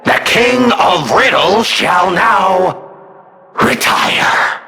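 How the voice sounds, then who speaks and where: An actor playing a manic, mocking, murderous puppet in a video game, with theatrical delivery that is gleefully unhinged. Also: male voice, high voice, expressive, very slow, gravelly, exaggerated voice. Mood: unhinged, insistent. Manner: theatrical, gleefully unhinged, swinging between hushed and shouted. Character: manic, mocking, murderous male puppet, self-styled king of riddles.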